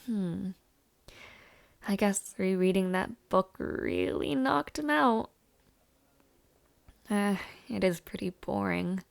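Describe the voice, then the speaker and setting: A young woman acting in an intimate audio roleplay as a warm, shy, playful university student, with a hesitant, giggly, softly teasing way of talking. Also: mid-range voice, takes it slowly, creaky, long pauses. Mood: fond, teasing.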